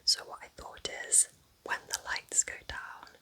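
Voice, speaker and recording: Casting: woman playing a kind, affectionate cottage witch for ASMR roleplay, soothing and chatty, in a breathy, very bright, sibilant whisper. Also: unhurried. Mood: gentle, welcoming. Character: kind, cosy forest witch welcoming a visiting relative.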